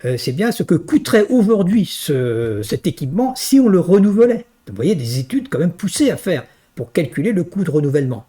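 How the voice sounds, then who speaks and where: A man's voice: sits high, very animated, brisk, hardly any pauses, mellow. A man lecturing on a technical subject in a didactic, emphatic way, often punctuating his points with little tag questions. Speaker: university lecturer. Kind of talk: teaching a technical finance course.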